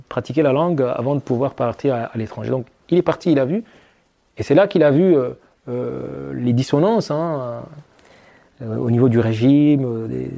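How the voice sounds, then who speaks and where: A middle-aged man from Chad, a former officer answering an interview question thoughtfully, halting with many fillers and restarts. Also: mid-range voice, gravelly, dark tone, highs cut off.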